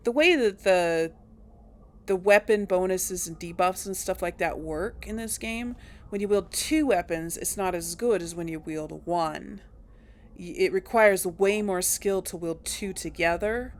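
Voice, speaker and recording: A woman casually commenting on her gameplay, thinking aloud with hesitant pauses and small chuckles. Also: mid-range voice. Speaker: let's play gamer.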